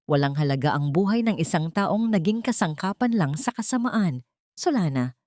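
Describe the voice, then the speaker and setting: A woman's voice: lowish voice, animated, normal speed, darkish tone, dry recording, clean studio sound, lightly acted. A woman voicing a cold, menacing inner voice in a melodrama story. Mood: cold warning.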